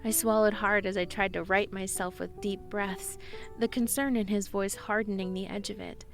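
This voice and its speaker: A woman's voice: medium pitch, fairly even intonation, moderate pace, close to an everyday voice. A woman acting a shy, earnest druid, music underneath. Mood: dazed, soft. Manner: earnest, stammering when flustered in dialogue. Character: shy, eager young female druid, plant lover. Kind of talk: romantic audio drama, first-person narration and dialogue.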